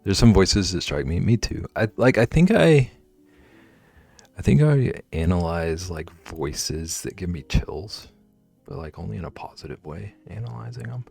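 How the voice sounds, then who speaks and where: Gravelly, low-voiced man chatting on stream. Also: lively intonation, measured pace, darkish tone, no room sound, faint music behind. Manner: playful, jokey and chatty. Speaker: livestreamer. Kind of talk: casual chat with viewers while drawing.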